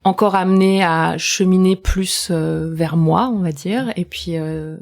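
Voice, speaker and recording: A woman's voice: fairly low pitch, steady tone, measured pace, creaky, darkish tone. A young woman hosting an interview podcast, who talks hesitantly with many fillers and restarts. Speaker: podcast host and coach.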